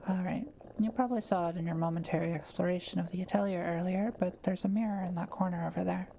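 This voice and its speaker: A woman playing a kind forest witch in a roleplay audio drama, speaking softly, carefully and patiently to the listener. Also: lowish voice, fairly even intonation, fast, creaky, slight background noise. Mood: caring, attentive. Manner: soft-spoken, careful, patient explaining. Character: kind, quiet-living forest witch, gently reassuring.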